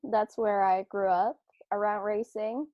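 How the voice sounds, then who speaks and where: A young woman from Norway, a drag racing driver, telling her story in a relaxed interview with fillers and restarts over a remote call. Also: mid-range voice, unhurried, dry recording. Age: 20s. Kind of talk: casual interview chat. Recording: dull remote-call audio.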